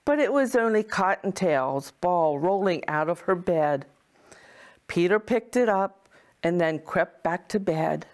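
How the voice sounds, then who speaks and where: Slow-paced woman storyteller. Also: on the low side. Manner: slow, deliberate, expressive storybook delivery. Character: Mrs. Claus, kindly motherly wife of Santa. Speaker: storyteller playing Mrs. Claus. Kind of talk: children's storybook reading.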